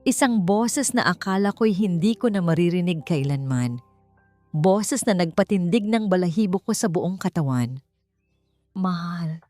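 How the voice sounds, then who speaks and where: A woman narrating a dramatic first-person audiobook story in an emotive, deliberate manner. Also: lowish voice, expressive, darkish tone, no room sound, faint music behind.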